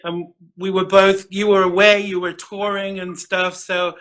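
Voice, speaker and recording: High, bright-voiced chatty male host. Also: level tone, measured pace. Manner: effusive, chatty, lots of fillers and restarts, fan enthusiasm. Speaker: show host. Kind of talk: casual livestream interview.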